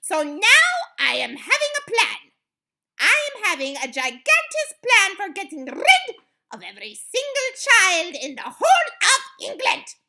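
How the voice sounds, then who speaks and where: A woman's voice: extremely high pitch, big pitch swings, slow pace, hardly any pauses, pushed and bright, pitched above the performer's own voice, exaggerated voice. A woman voicing a tyrannical, child-hating chief witch in a children's storybook, screeching and barking out imperious orders. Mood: gleeful menace. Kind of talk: theatrical children's audiobook villain.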